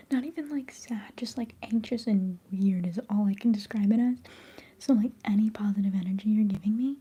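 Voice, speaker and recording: A young woman whispering softly and chattily during a live ASMR stream, giggling now and then. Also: mid-range voice, normal speed, husky. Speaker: livestream host.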